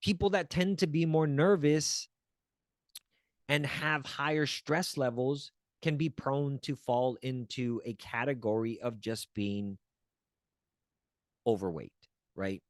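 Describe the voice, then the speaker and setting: A man's voice: mid-range voice, fairly even intonation, slow pace, dry recording, studio quality. A man teaching as a wellness coach, earnest and encouraging, often checking in with the listener and restarting phrases as he searches for words. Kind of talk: teaching talk to camera.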